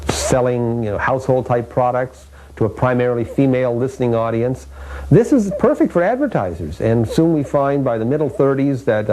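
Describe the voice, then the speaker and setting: An American man giving an explanatory interview like a lecturer, with emphasis and pauses to find his words. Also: mid-range voice, warm tone, rough recording. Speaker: radio historian being interviewed.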